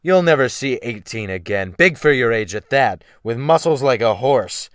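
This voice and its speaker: A man's voice: mid-range voice, expressive, normal speed, dry recording, clean studio sound, natural voice. A man voicing a brutal, commanding ship's captain who snaps orders with mocking menace in a dramatic audiobook. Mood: imperious. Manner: snapping, barking orders, thundering. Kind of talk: dramatic audiobook character reading.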